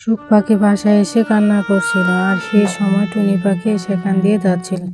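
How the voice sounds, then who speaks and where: A woman's voice: mid-range voice, little pitch movement, fairly quick, music in the background. A woman narrating a scripted children's fairytale as a storyteller.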